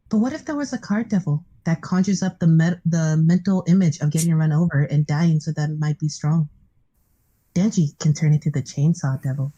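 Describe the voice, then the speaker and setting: Woman acting composed female squad leader, controlling, dark mellow tone, husky, fan dub. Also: low voice, lo-fi sound. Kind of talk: amateur live fan dub of anime.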